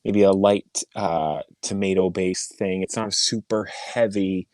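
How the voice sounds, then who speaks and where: Man, podcast co-host, lowish voice, measured and hedging, dry close sound. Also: fairly even intonation, a little mellow, no room sound. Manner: measured, hedging, many fillers. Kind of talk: casual chat.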